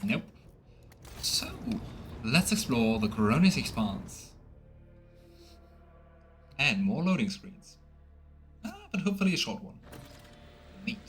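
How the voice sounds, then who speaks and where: Man, game streamer, casual play-along commentary, mid-range voice, soft background music. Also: mid-range voice, sing-song, slow pace, long pauses.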